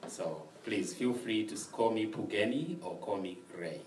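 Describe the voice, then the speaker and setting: A young man from Zimbabwe, speaking softly and with measured pacing as a guest telling his story, often laughing. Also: lowish voice, expressive, noisy background. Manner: soft-spoken, measured, laughs easily. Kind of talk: conversational storytelling interview. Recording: roomy sound from a distant mic.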